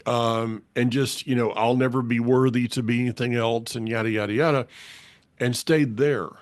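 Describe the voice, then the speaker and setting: A man's voice: medium pitch, fairly even intonation, normal speed, no room sound. A man with a Southern US accent hosting a casual faith conversation, folksy and meandering, with lots of restarts. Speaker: podcast host.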